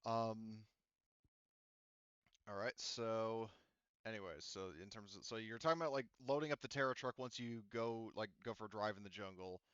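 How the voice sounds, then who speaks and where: A man running a tabletop roleplaying game as game master, casual and quick to laugh, talking through fillers. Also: on the low side, a bit bright, smooth, no room sound, dull audio.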